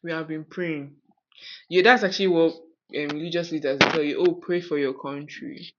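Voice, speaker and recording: A woman's voice: deep voice, steady tone, normal speed, highs cut off, noisy background. A woman with a Nigerian accent hosting a podcast, talking casually and outspokenly with rhetorical questions. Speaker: podcast host. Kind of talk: casual conversational podcast talk.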